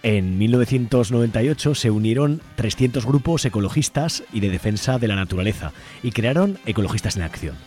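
Man, Spain Spanish accent, mid-range voice, scripted radio narration, co-host, quiet music underneath. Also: mid-range voice, animated, brisk. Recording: music bed behind the voice.

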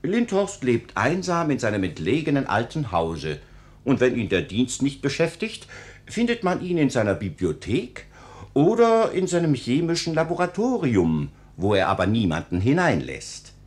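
A dignified, kindly registrar in a radio fairy tale. He gives formal, measured advice in a medium-pitched voice with very expressive rises and falls. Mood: fatherly advising. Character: benevolent, dignified registrar, fatherly gentleman.

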